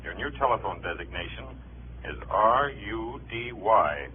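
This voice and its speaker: A lowish-voiced American FBI handler, brisk, terse and businesslike. He sounds like a 1950s radio drama figure on a thin telephone line with faint noise. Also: animated. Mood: terse, guarded.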